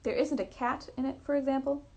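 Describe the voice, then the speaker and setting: A woman voicing a keen, probing male detective in a storybook reading, with a deliberate, inquisitive manner. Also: high-pitched, fairly even intonation, dark tone, pitched above the performer's own voice, natural voice. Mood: curious.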